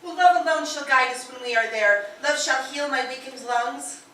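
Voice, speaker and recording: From some distance in a heavily echoing room, an actress plays a loving young wife. Her voice is bright and on the high side. She recites romantic verse with earnest, dreamy devotion, as in a stage drama. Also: normal speed. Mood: earnest longing. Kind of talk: theatrical dramatic reading of love poems. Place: live performance in a radio station's performance room. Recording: very echoey live room, distant mic, faint noise.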